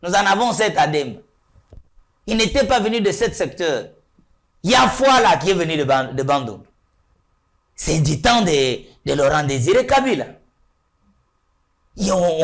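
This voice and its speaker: Man, talk show guest, high-pitched, animated, rhetorical. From Kasai, DR Congo. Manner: emphatic, rhetorical, repeats points and asks "hein?". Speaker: studio guest. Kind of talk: political talk show discussion.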